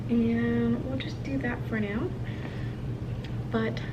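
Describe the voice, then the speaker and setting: A woman's voice: a little high, steady tone, slow pace, muffled recording. A young American woman from Hawaii chatting casually and informally to camera in her apartment, thinking aloud as she does her makeup. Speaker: video blogger. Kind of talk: casual chat to camera while doing makeup. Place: indoors in an apartment. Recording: clearly noisy home recording.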